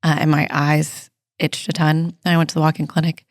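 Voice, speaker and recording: Woman, hoarse fading voice, lowish pitch, dry close sound. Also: brisk, dry recording. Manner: chatty, laughs often, lively storytelling. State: losing her voice. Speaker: nurse practitioner and podcast host. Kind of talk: casual health podcast chat.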